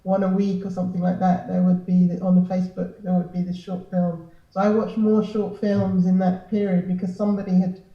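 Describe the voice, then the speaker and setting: A woman's voice: fairly low pitch, little pitch movement, fairly quick, few pauses. A woman speaking reflectively and unscripted as a panelist. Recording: echoey, distant, dull microphone.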